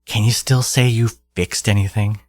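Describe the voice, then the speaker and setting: A man playing a theatrical, possessive supervillain in a scripted audio roleplay, scornful and grandiose toward his archnemesis, with a husky voice. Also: lowish voice, fairly even intonation, moderate pace, no echo, natural voice. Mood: grandiose, contemptuous. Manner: grandiose, exasperated, dramatic emphatic delivery.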